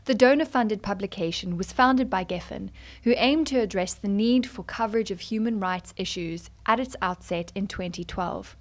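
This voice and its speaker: A woman's voice: medium pitch, moderate pace. A young woman, a student journalist, reads a written article aloud as scripted narration. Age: young adult.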